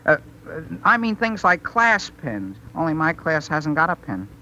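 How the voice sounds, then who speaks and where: An awkward teenage boy in a 1930s radio comedy. His voice is high and bright, and he pleads with earnest, stammering eagerness. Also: very animated, normal speed, highs cut off, slight background noise, exaggerated voice. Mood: earnest, wheedling.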